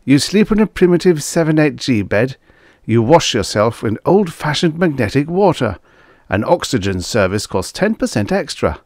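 A man's voice: mid-range voice, big pitch swings, moderate pace, no room sound, studio quality, voice pushed up, natural voice. A man voicing a blunt, grumbling space trucker with colloquial talk in a science fiction story. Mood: complaining.

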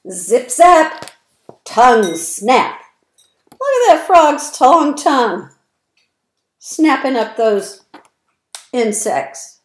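Female children's read-aloud voice, fifties, fairly high, deliberate emphasis, roomy webcam recording. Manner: slow, deliberate, expressive word emphasis. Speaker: early childhood educator reading aloud. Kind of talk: picture-book read-aloud for young children. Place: indoor room at home, by windows. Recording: roomy, echoey webcam sound.

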